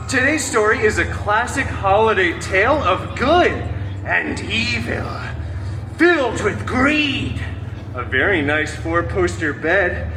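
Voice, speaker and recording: A man playing a snarky masked antihero who hosts a live storytime show, joking sarcastically at the audience. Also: high-pitched. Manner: sarcastic, theatrical, fourth-wall jokes. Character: wisecracking masked male antihero, snarky and hammy. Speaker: live stage show performer. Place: outdoor theme park stage. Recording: distant mic, roomy, music behind.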